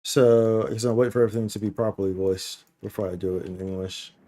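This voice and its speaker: Rambling man streaming games, mellow voice. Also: on the low side, fairly even intonation, quick pace. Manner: slangy, rambling, playful, often repeats phrases. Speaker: game streamer, host. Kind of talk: casual live-stream gaming chat.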